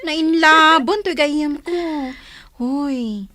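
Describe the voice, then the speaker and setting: A woman's voice: sits high, drawn-out words, pushed and bright, dry recording, full character voice. A woman playing a teasing, nosy young confidante in an Ilocano radio drama, with a crowd behind her. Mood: knowing, prying.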